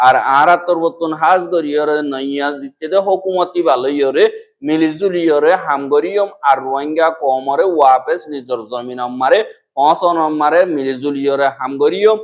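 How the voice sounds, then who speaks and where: A man's voice: a little high, normal speed. A man with a Rohingya accent presenting the news, reading from a prepared script.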